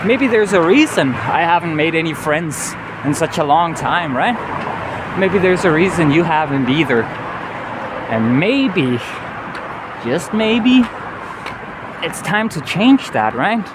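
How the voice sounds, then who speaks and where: A man's voice: fairly high pitch, very animated, takes it slowly, long pauses. A man with a Danish accent, a native Danish speaker, talking earnestly and rambling in a casual travel vlog, often adding "you know". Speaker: travel vlogger. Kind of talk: casual walking vlog monologue. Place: outdoors on a city street. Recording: clear street traffic noise. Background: city traffic and vehicles.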